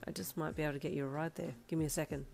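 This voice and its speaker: A sly young man from a storybook romance, cheeky and smirking, as a woman puts him on. His voice sits low and quiet, mellow and unhurried. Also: steady tone, vocal fry, faint music behind.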